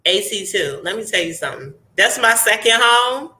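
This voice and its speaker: A young adult woman, hosting a casual live chat in a chatty, animated way and sounding congested. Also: medium pitch, normal speed, poor audio. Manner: chatty, animated, laughs often. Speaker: host.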